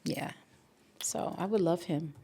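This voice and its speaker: Reflective middle-aged New woman, lowish voice. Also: takes it slowly, vocal fry, background noise. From New York City. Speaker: podcast host and activist being interviewed. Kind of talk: reflective conversational interview.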